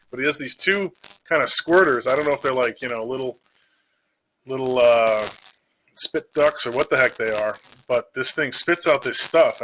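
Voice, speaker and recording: A man's voice: mid-range voice, sharp tone, poor audio. A man talking off the cuff in a casual, slangy, digressive monologue, like a video creator chatting about games. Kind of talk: casual rambling monologue about game monsters. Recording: noticeable background noise with clicks.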